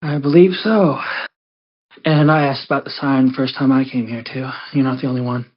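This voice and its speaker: A woman's voice: very deep voice, fairly even intonation, normal speed, dull audio. A woman playing a confident, laconic monster-hunting adventurer in a tabletop game, terse and self-assured. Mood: matter-of-fact. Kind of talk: acting a character in a tabletop game.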